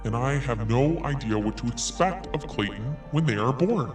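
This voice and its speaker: A man's voice: medium pitch, expressive, moderate pace, recorded from a distance. A man podcast host reads an email aloud and mocks it with dry, snarky comments between lines. He sits a little back from the mic, so he sounds set apart from it. Faint music plays under his voice. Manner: sarcastic, cutting in with snarky asides.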